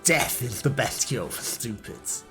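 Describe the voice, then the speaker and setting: A man voicing a gruff, vulgar old grandmother who talks bluntly and scolds. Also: mid-range voice, very animated, measured pace, a bit bright, soft background music.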